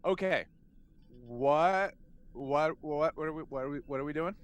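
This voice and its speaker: A man playing a tabletop game, chatting casually in a playful, halting way as he improvises. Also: fairly high pitch, smooth.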